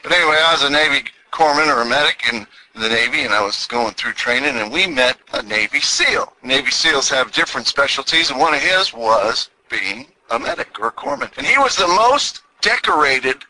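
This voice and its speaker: A man in his sixties, from New England, with a Texan twang, telling stories in an animated, folksy way. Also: mid-range voice, a little fast, few pauses, a little hiss or hum, low-quality recording. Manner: animated, exclaiming, chuckling folksy talk. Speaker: vlogger, military veteran. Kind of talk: casual storytelling monologue.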